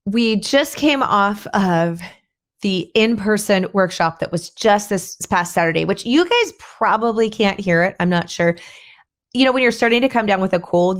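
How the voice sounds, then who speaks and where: Hoarse woman chatting on a podcast. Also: medium pitch. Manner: chatty, rambling, thinks aloud with restarts. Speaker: podcast host. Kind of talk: casual solo podcast talk.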